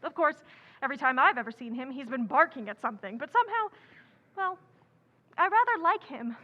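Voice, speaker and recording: An actress playing an outspoken, impetuous young writer, chattering and blunt, in an old-time radio drama. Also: female voice, sits high, lively intonation, brisk, muffled recording. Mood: candid.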